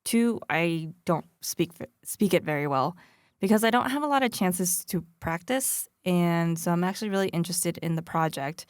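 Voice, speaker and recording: A woman hosting a podcast, narrating in a reflective, measured way and interviewing a guest. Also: on the low side, clear, resonant tone, no room sound, studio quality. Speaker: podcast host.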